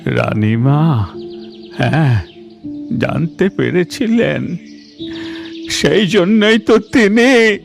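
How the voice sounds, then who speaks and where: A man's voice: on the high side, animated, drawn-out words, vocal fry, long pauses, soft background music, full character voice. A man voicing a storyteller character who recounts a tragic tale in a dramatic cartoon fairy tale. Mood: grave.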